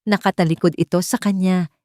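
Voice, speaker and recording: A woman narrates a dramatic love story as a scripted piece. Her voice sits on the low side for a woman. The sound is very dry, with no room around it. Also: steady tone, brisk, mellow, no room sound.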